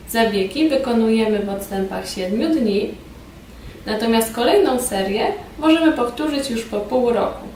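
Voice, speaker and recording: Female instructor, roomy and echoey sound, formal scripted training narration, measured delivery. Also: fairly high pitch, a little mellow. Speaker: instructor presenting a beauty device training film. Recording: roomy echo, distant mic, background noise.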